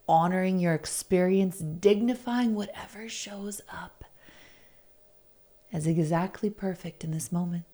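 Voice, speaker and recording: Woman doing guided meditation, lowish mellow voice, soothing and deliberate. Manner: soothing, deliberate, gently inviting. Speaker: guest meditation facilitator.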